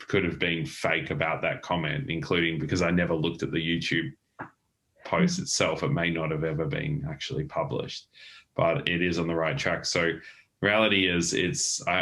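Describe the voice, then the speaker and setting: A man's voice: low voice, fairly bright tone. A man hosting a casual interview over a video call, rambling and joking, often restarting his sentences. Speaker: host.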